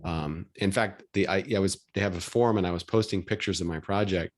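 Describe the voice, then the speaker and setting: A man's voice: low-pitched, brisk. A middle-aged man from Washington State telling a story in a matter-of-fact, chatty way on a video call. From Washington State, USA.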